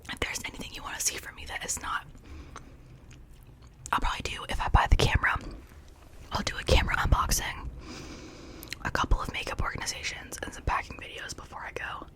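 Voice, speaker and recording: A woman's voice: a little fast, pauses often. A woman whispering breathily in a casual, rambling, chatty ASMR style, talking informally to her viewers. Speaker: ASMR video creator.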